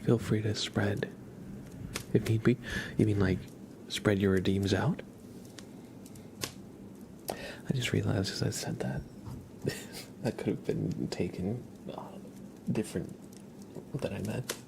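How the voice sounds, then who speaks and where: A man hosting an ASMR livestream, chatting gently and casually in a soft, breathy voice with frequent pauses. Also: lowish voice, background noise. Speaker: livestream host.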